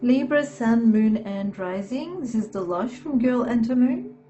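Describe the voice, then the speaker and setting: Slow hoarse woman reading tarot. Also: fairly high pitch, animated, dark tone, muffled recording, faint music behind. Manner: halting, many fillers and "okay"s. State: hoarse from a sore throat. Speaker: tarot reader.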